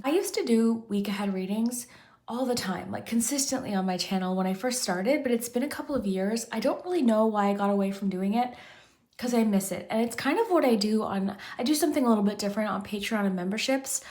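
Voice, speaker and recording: A woman hosting a pick-a-card tarot reading, chatty and rambling, and a little hoarse from losing her voice. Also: medium pitch, brisk, darkish tone. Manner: chatty, rambling, frequent "kind of" and restarts. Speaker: tarot reader, channel host. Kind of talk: casual pick-a-card tarot chat to camera.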